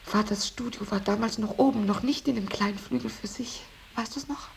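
An actress playing a painter's reserved, sceptical daughter in a radio drama, with a hushed, breathy, probing delivery. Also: female voice, fairly high pitch, brisk, slight background noise. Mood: wistful, guarded. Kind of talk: 1980s German radio drama acting.

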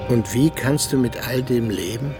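An actor playing a disillusioned assassin and former prisoner in a radio drama, speaking in an earnest, brooding way. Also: male voice, medium pitch, normal speed, over music, natural voice. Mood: challenging. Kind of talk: German radio drama acting.

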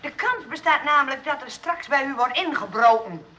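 A woman's voice: high voice, lively intonation, normal speed, pushed and bright. An actress playing a fretful, bossy and well-meaning nursing sister in a Dutch radio play, anxious and hesitant in her talk. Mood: flustered, reassuring. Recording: noisy old radio play recording.

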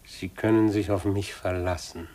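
A man's voice: deep voice, fairly even intonation, normal speed, noisy background, natural voice. An actor playing a scheming, falsely fatherly middle-aged businessman in a 1950s German radio drama, unctuous and patronising. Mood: oily, soothing. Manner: unctuous, patronising, with smug chuckles.

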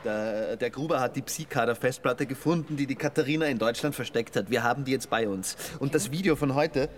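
A man's voice: medium pitch, fairly quick, lightly acted. An eager police investigator, played by a man, reports news in a reverberant space. There is a faint hum of ambience behind him. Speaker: police colleague.

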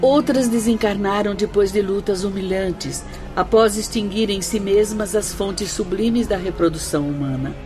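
A woman's voice: medium pitch, lightly acted. A woman playing a grave spirit instructor, explaining in a solemn manner in a radio drama. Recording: music bed under voice.

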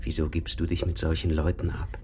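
An actor playing a middle-aged, possessive, delusional father in a naturalistic radio crime drama, speaking in a halting mutter. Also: a bass voice, level tone, faint music behind. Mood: brooding, evasive. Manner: halting, muttering, with hesitant fillers. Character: delusional racist father, brooding and possessive, turning fanatical.

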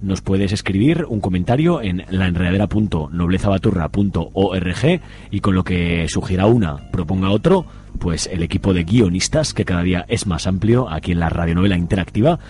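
A man's voice: low voice, lively intonation, moderate pace, mellow. A man presenting informally on radio, announcing to listeners. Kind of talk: informal programme sign-off announcing.

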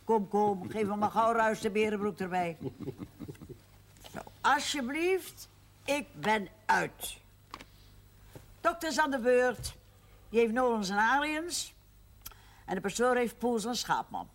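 An actress playing a feisty, witty very old aunt in a television period drama, teasing and cheeky. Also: female voice, a little high, lively intonation, slow pace, pauses often, slight background noise. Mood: cheeky, defiant. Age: older.

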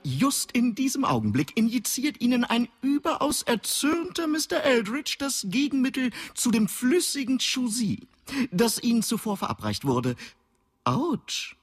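Teasing, formal guide from another reality, raspy voice with swooping melody, sci-fi radio play. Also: female voice, fairly high pitch, very animated, a little slow, exaggerated voice. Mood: teasing, matter-of-fact. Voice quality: husky. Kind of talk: German science fiction radio drama acting.

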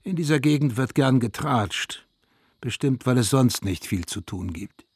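A man reading literary first-person narration for a radio drama in a measured, reflective way, with a husky voice. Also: medium pitch, lively intonation, a little mellow, no echo, studio quality.

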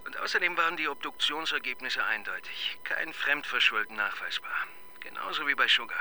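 An actor playing a shy, awkward young police assistant who talks in a hesitant, deferential, stammering way in a radio crime drama. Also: male voice, mid-range voice, animated, brisk, pushed and bright, slight background noise. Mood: earnest.

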